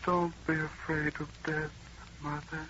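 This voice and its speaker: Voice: mid-range voice, little pitch movement, measured pace, fairly bright tone, close to an everyday voice. An actor playing a doomed son calling to the mother, eerie and resigned, in an old-time radio horror drama. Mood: eerie calm. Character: dying young son calling softly from the dark. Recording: very noisy muffled old radio recording.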